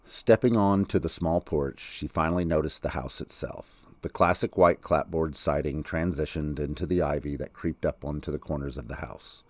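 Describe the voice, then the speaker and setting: A man's voice: a bass voice, moderate pace, dry recording. A man reading scripted audiobook fiction as its narrator. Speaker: author reading own audiobook.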